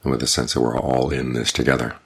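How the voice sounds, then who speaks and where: Deep gravelly monk giving a dharma talk. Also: male voice, flat delivery. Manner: measured, deliberate teaching. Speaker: Buddhist monk teacher.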